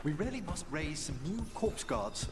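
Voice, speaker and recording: An actor playing a courteous, scholarly gentleman necromancer in a fantasy game, speaking formally and eloquently. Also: on the high side, animated, normal speed, close to an everyday voice. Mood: disapproving. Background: game music.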